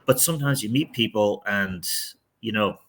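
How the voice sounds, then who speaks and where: A man's voice: lowish voice, moderate pace, fairly bright tone. A middle-aged man, a real estate team leader, giving candid, rambling interview answers with frequent restarts. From outside the United States.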